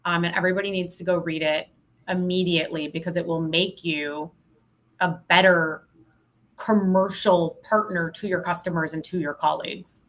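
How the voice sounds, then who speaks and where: Lower-pitched woman, emphatic and candid. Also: steady tone, moderate pace. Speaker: podcast guest, sales professional. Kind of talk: casual interview chat.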